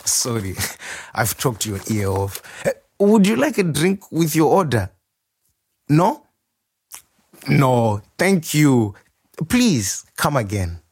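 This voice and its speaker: An actor playing a warm, proud, playful nurse turned chef in an expressive audio monologue. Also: male voice, medium pitch, takes it slowly, long pauses, husky, exaggerated voice. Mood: friendly. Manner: expressive, reflective, wryly humorous. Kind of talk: dramatic first-person monologue.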